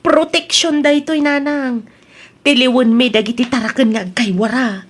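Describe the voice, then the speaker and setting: A woman's voice: high voice, fairly even intonation, normal speed. A woman with an Ilocano Filipino accent playing an eager, money-chasing village councilor in a comedy audio drama. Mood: defensive. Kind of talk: comedy radio drama acting.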